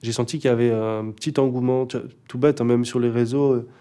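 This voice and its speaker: A man's voice: medium pitch, steady tone, fast talker, mellow. A young man, a rapper, chatting casually in an interview, laid-back and hesitant, with many fillers and restarts. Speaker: rapper guest.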